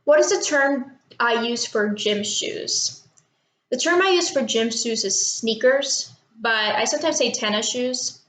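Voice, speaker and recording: A young woman of eighteen from Texas, casual and a little unsure, filling gaps with ums as she answers questions for her viewers. Her voice sits a bit high. The room around her echoes. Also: a little slow, fairly bright tone. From Texas, United States. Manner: chatty and unsure, many ums and little laughs. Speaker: vlogger doing an accent tag. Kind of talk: casual talk to camera, reading questions and word list.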